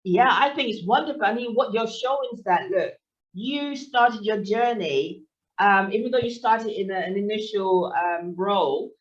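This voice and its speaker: A woman's voice: mid-range voice, moderate pace. Woman podcast host, distant muffled sound. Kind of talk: podcast interview. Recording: distant, dull lo-fi audio.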